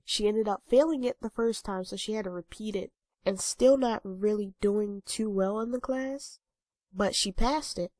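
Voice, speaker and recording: A woman's voice: mid-range voice, normal speed, darkish tone, no echo. A young woman in her twenties with a stuffy, congested nose, chatting casually to her viewers with lots of ums and uhs. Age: 20s. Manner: rambling, many ums and uhs. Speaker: vlogger. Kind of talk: casual talking-head vlog.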